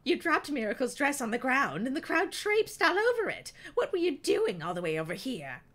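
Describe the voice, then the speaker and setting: A woman voicing a harsh mother, scolding in a loud, high, sharp voice. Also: sing-song, normal speed.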